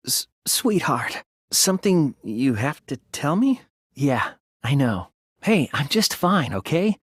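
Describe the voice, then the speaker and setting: A man voicing an earnest, timid yet resolute teenage boy detective in an English anime game dub, polite and soft-spoken. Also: high-pitched, dry recording, clean studio sound, heavily acted. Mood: gentle, shy. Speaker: video game voice actor.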